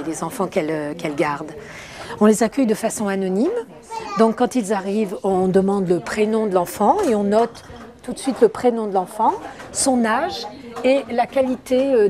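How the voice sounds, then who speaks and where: Halting woman with chatter behind. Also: medium pitch. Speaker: parent-child welcome service worker. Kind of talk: interview answer. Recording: chatter in the background.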